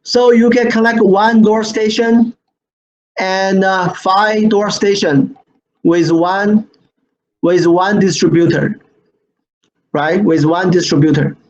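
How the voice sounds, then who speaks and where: A man who speaks English as a second language presents an online product training, repeating phrases haltingly and often checking with "right?". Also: high voice, steady tone. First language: not English. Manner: repetitive, halting phrasing with frequent "right?" tags. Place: screen-share online session. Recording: dull lo-fi online webinar audio.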